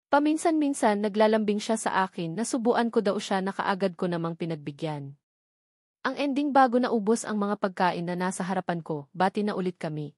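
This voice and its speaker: A woman's voice: medium pitch, darkish tone, no room sound, studio quality. A woman with a Filipino accent reading a first-person romance novel as a scripted audiobook narration.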